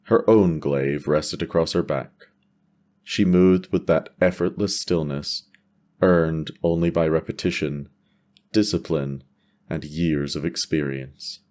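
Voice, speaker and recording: A man's voice: very deep voice, fairly even intonation, takes it slowly, a little mellow. A man delivering scripted, cinematic narration for a fantasy audio drama.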